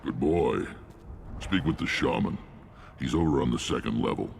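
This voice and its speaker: A man voicing a gruff, battle-worn alien warlord in a video game, commanding and wry, with a blunt, measured delivery. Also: noisy background. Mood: wry approval. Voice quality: very deep, gravelly. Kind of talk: video game character acting.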